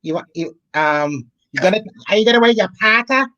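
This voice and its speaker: Guest, wry chatty man, slightly high voice, dull lo-fi dry audio. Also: animated, brisk, sharp tone, no room sound. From Boston area, US. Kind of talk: casual chat.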